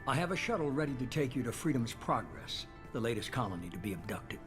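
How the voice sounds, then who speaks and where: An actor playing a calculating, self-assured leader of a secretive organization, speaking in a measured, persuasive way in a video game. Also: male voice, medium pitch, very animated, lightly acted. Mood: assured, commanding. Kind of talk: video game cutscene acting. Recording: music behind the voice.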